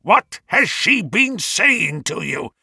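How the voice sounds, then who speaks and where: A man's voice: lowish voice, big pitch swings, slow, vocal fry, sharp tone, breathy edge, dry recording, studio quality, heavily acted. Old brute of a villain played by a man: irate, threatening, snarly, very dynamic delivery for an audiobook. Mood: furious. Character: furious, menacing, huge old male villain, a doctor.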